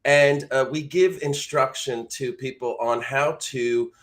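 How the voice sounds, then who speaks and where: A man's voice: mid-range voice, steady tone, takes it slowly. A man hosting an informal instructional live show, explaining things directly to the listener as a teacher would. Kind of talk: informal instructional talk to camera.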